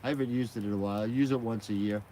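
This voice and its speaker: A man's voice: lowish voice, fairly even intonation, racing, warm tone, a little hiss or hum. A man chatting casually and rambling on a live stream, outdoors at night beside a fire, filming on a phone mounted on a gimbal. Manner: rambling, chatty, laughs easily.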